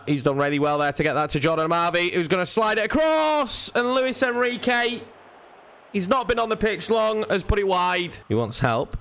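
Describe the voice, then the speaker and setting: A young man giving lively play-by-play commentary over his football video game, rising sharply at chances and goals. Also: a little high. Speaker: gaming YouTuber.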